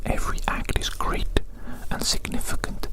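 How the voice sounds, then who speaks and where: A man whispering a breathy ASMR roleplay monologue in a rambling, repetitive, whimsically fussy way. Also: moderate pace, poor audio.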